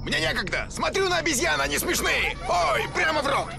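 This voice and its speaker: An actor playing a frantic, grumpy cartoon creature in a video game, with a gravelly voice. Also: male voice, extremely high pitch, sing-song, fairly quick, full character voice. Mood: giddy. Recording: loud music behind the voice.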